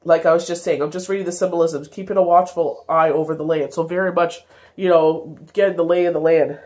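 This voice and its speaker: A woman's voice: on the low side, fairly even intonation, brisk, darkish tone. A congested woman giving a casual daily tarot reading, meandering with many fillers and self-corrections. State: congested, stuffy nose. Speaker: tarot reader.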